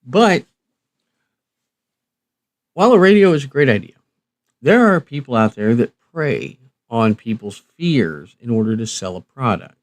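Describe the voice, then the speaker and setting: A man's voice: mid-range voice, big pitch swings, measured pace, pauses often, dry recording. A man hosting a casual live stream, talking in a folksy, rambling and hesitant way with trailing phrases. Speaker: live stream host, radio reviewer.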